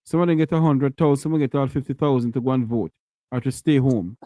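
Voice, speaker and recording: A man's voice: mid-range voice, fast talker, warm tone, dry recording. A man with a Jamaican accent hosting a late-night call-in show, answering callers bluntly and calling them sir. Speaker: call-in radio host.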